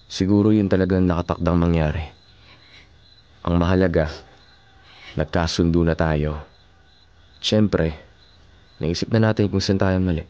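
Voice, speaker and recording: A man's voice: sits low, steady tone, measured pace, long pauses, darkish tone, muffled recording. A man with a Filipino accent acting a protective, earnest younger brother in a radio drama. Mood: reassuring, earnest.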